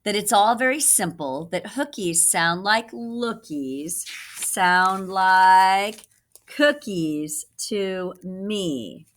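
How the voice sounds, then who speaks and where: A woman's voice: medium pitch, animated, very slow, fairly bright tone. Woman as a clever little storybook boy. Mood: reasoning.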